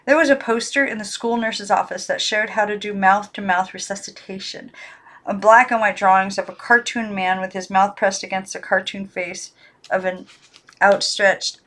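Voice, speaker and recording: A woman reading a children's novel aloud in calm, even narration, now and then correcting herself. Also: mid-range voice, normal speed. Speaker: audiobook reader.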